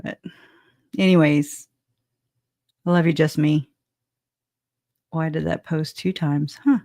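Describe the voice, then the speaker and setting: A woman's voice: low-pitched, steady tone, slow pace, vocal fry, warm tone, no echo. Chatty woman hosting a dry livestream. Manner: chatty, laughing, rambling with restarts. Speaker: livestream host. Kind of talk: casual livestream chat.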